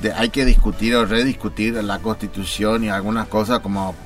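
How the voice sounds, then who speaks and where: A man's voice: on the low side, steady tone, brisk, fairly bright tone, soft background music, lightly acted. Man doing a smug rich landowner. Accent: Argentine. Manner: pompous, rambling. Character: smug wealthy male landowner, condescending. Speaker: guest. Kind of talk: satirical radio sketch.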